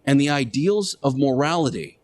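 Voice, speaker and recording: A man hosting a radio show, preaching in a deliberate monologue with emphatic short phrases, pauses and repetition. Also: medium pitch, animated, a little mellow, dry recording. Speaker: radio show host playing Jesus in interactive radio theater.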